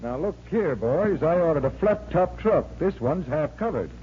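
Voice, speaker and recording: A man's voice: fairly high pitch, expressive, normal speed, husky. An actor playing a confident male stage magician and escape artist in 1940s radio acting style. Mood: annoyed. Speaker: lead actor in a radio serial. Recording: muffled, hissy 1940s radio recording.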